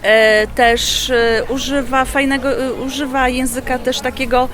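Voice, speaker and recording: Female, bright high voice, casual festival vox pop over crowd chatter. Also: level tone, unhurried. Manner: chatty, informal, laughs easily. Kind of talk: casual interview answer. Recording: outdoor crowd noise.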